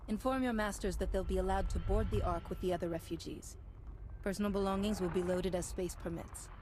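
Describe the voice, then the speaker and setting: A woman's voice: medium pitch, steady tone, brisk, a little mellow, a little hiss or hum, lightly acted. An actress playing a resolute, composed female Republic hero and negotiator in a video game. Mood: calm, firm. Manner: firm, composed, authoritative.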